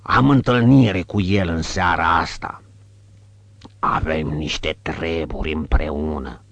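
A pale, sinister thug who asks his questions in a hoarse, surly voice, as a male narrator puts him on. He sounds gruff and guarded. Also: rumbling low, a bit bright, no room sound, full character voice.

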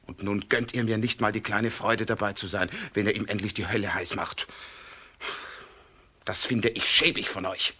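Sharp-voiced, wheedling village meddler. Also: male voice, on the low side, steady tone, moderate pace, husky. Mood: indignant, boastful. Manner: wheedling, boastful, self-important. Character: sly red-haired meddling village man, needling false friend. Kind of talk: German children's radio drama acting.

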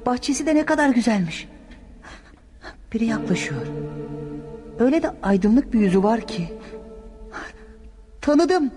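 An innocent young son in a radio drama. The voice is high and animated, full of earnest feeling, set in a long reverb with music underneath. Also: female voice, measured pace, pauses often. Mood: awed. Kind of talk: Turkish radio theater acting.

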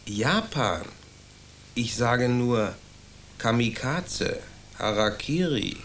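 An actor playing a sardonic male dignitary in a radio play, sly and deadpan. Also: mid-range voice, drawn-out words, a little hiss or hum, heavily acted.

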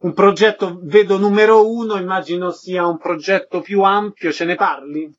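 A man's voice: high voice, fairly even intonation, moderate pace, highs cut off. A man hosting a radio interview, giving introductions and putting questions to his guests.

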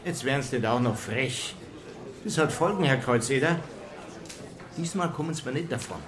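An actor playing a strict police chief in a radio drama, indignant and reproachful with a subordinate. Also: male voice, mid-range voice, lively intonation, normal speed, crowd noise, natural voice. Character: exasperated, scolding police chief, strict superior officer.

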